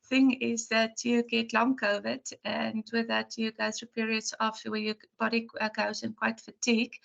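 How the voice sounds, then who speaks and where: A woman lecturer with a hoarse, croaky voice, talking to her class in a chatty, rambling and apologetic way on a video call. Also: on the high side, a bit bright, highs cut off. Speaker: university lecturer.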